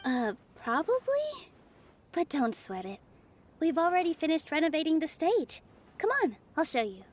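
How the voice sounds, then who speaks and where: A woman voicing a cheerful, earnest young female dancer in a video game, expressive and eager. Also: high-pitched, moderate pace. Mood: bright, friendly. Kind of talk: video game character acting.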